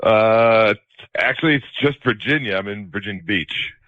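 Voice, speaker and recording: A man's voice: fairly low pitch, normal speed, dry recording, lo-fi sound. A young man with an American accent speaking casually and hesitantly as a caller on a radio show. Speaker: phone-in guest. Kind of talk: casual phone conversation.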